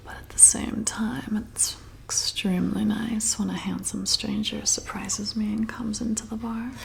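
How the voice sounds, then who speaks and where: A woman playing a flirty, slyly predatory vampire bartender in an intimate, coaxing, breathy whispered ASMR roleplay. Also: fairly high pitch, exaggerated voice. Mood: seductive. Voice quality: breathy whisper with creaky edges. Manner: slow, soothing, intimate, coaxing.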